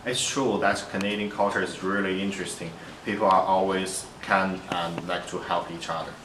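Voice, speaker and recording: Really deep flat-voiced guy reading lines, far off in a noisy room. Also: moderate pace, echoey room. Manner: monotone prepared statements. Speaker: international student.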